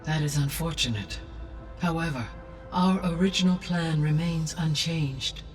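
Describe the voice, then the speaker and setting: An actress voicing a calm, wise female AI that speaks in a measured, formal way. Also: low voice. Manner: measured, formal, precise diction. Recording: distant voice over loud music.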